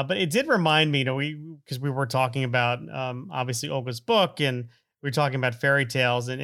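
A man's voice: mid-range voice, pushed and bright. A middle-aged man hosting a podcast, rambling and joking, often laughing and mocking himself. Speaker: podcast host. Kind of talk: casual rambling intro.

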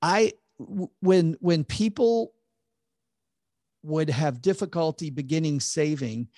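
A man's voice: a little high, slow pace, darkish tone, dry recording, studio quality. A man, a financial advisor guest on a podcast interview, explaining earnestly with frequent stammered restarts.

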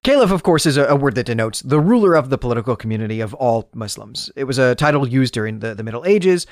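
A man's voice: medium pitch, expressive, fast, no room sound, studio quality. A man hosting a book club podcast, talking in a discursive, explanatory way with self-aware asides. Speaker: podcast host. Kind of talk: book club podcast commentary, semi-scripted.

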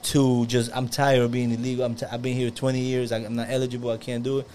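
A man's voice: medium pitch, little pitch movement, fast, darkish tone. A man in his thirties, originally from Colombia, talking candidly as a guest on a casual podcast, with frequent restarts. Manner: candid storytelling, laughs at his own slips. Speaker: guest film director.

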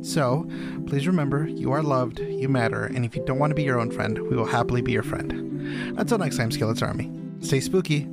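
A man's voice: medium pitch, fairly quick, creaky, over loud music. A man with a Mexican accent co-hosting a comedy podcast, joking around and reading out facts between laughs. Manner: joking, laughs often, reads facts aloud. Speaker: podcast co-host. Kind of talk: casual comedic chat.

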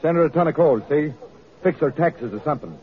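An actor playing a cynical, self-assured big-city political boss with an American accent and tough, slangy speech in an old radio drama. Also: male voice, a little high, lively intonation, brisk, lightly acted. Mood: scheming. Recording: tinny, narrow old radio recording.

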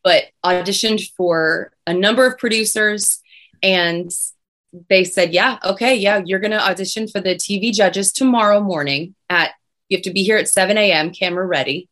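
A young woman singer with a low voice, chatting casually as an interview guest. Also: moderate pace, fairly bright tone. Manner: chatty, many restarts and fillers.

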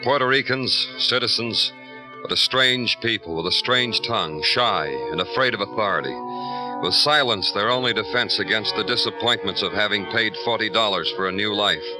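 A male actor as a brooding American police detective in a vintage radio crime show. His voice sits low, and he narrates tersely and deadpan, in poetic hard-boiled phrases. Music swells behind him. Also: moderate pace, natural voice, poor audio. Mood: grim, brooding. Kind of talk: 1950s radio drama acting, hard-boiled first-person narration.

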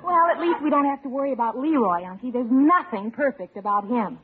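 On a thin, hissy vintage recording, a woman plays an affectionate young wife in a radio comedy. She speaks in a high, lilting voice with a cheerful temper. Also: expressive, brisk, phone-quality sound, lightly acted. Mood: pleased. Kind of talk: 1940s-50s radio sitcom acting.

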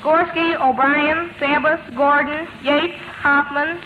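A man's voice: very high-pitched, steady tone, takes it slowly. A young boy contestant on an old radio quiz, reciting answers from memory. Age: child. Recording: tinny, noisy old radio recording.

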